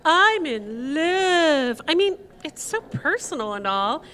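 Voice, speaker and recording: Actress playing sweet absentminded young wife, high bright voice, questioning, stage radio drama. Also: female voice, sing-song, slow, heavily acted. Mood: skeptical. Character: forgetful, sweet young wife, mildly skeptical. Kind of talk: live radio play acting.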